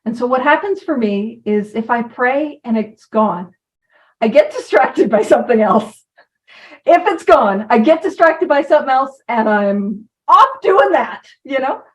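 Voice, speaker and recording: A woman's voice: fairly high pitch, expressive, measured pace, far from the mic, rough recording. A woman giving an informal talk about recovery, chatty and self-deprecating, who drifts from point to point. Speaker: recovery program speaker.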